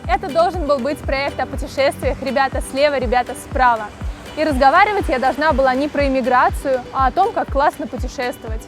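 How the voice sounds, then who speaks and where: Russian-accented woman hosting, high voice. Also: quick pace, faint music behind. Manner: simple broken English questions, fluent in her native language. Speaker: travel show host. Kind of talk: vlog narration and interviewing.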